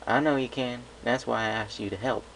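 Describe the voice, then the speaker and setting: A man's voice: mid-range voice, fairly even intonation, fairly quick, creaky, a bit bright, voice pushed up. A man voicing a protective big-sister pony, a direct, folksy country mare, reading a story. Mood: kindly encouraging. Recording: noisy home recording.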